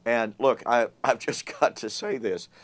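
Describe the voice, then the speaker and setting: A man hosts a casual morning Bible study, his voice still thin and strained from a recent bout of laryngitis. He presses his points with emphasis, repeating words and dropping in fillers as he goes. His pitch sits in an ordinary middle range. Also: measured pace. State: weak voice recovering from laryngitis. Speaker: host. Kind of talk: casual Bible teaching monologue.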